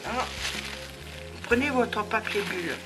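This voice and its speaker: Woman with a head cold, crafting tutorial host; slow, rambling, full of fillers, music behind. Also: fairly high pitch, low-quality recording. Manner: chatty, many hesitations and fillers. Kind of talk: casual crafting demonstration.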